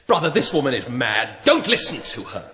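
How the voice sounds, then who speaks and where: An actor playing a frantic, evasive young lord in a radio drama, agitated and pleading. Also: male voice, mid-range voice, wildly swooping pitch, moderate pace, bright, forceful tone. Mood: frantic denial. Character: young lord, cowardly villain, frantic, evasive, guilt-ridden.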